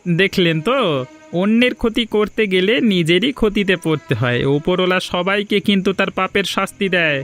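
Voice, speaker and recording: Cartoon role: stern, righteous country doctor, played by a man, high-pitched and sermonising, over music. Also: normal speed, fairly bright tone. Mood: stern, scolding. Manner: preachy, admonishing. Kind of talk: Bengali moral cartoon dubbing.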